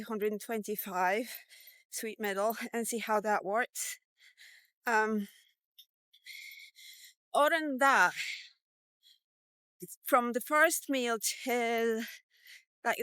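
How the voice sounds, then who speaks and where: Female vlogger, 50s, slightly high, clear voice, unhurried casual delivery with reflective pauses. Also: fairly bright tone, dry recording. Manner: halting, reflective, pausing between short phrases. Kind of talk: casual walk-and-talk diet vlog.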